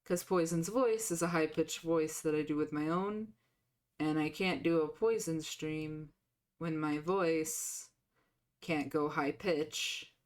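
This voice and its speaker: A woman streamer chatting casually and rambling with filler restarts, her voice deepened by a sore throat. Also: takes it slowly. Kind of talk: casual live-stream chat.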